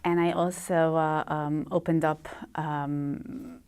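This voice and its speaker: A woman, a talk-show guest, speaking English with many fillers and pauses that give way to quick, animated stretches. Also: sits low, smooth. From Israel. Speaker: guest, head of a support organization. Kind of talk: personal conversation on a TV talk show.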